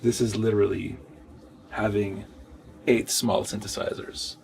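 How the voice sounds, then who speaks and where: A man's voice: lowish voice, level tone, measured pace, creaky, darkish tone. A man in his thirties giving a casual technical walkthrough of synthesizers while playing them in a recording studio. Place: wood-paneled recording studio, indoors.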